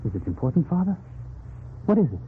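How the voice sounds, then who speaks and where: An actor playing an eager, excitable young trader in an earnest, exclamatory 1940s radio drama style. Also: male voice, fairly high pitch, fast talker. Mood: anxious curiosity. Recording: tinny, noisy 1940s radio recording.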